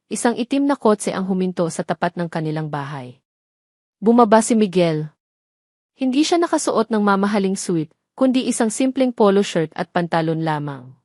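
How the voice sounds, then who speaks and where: A woman's voice: mid-range voice, a little mellow, no echo, clean studio sound. A woman storyteller reading scripted, dramatic narration for an audio story.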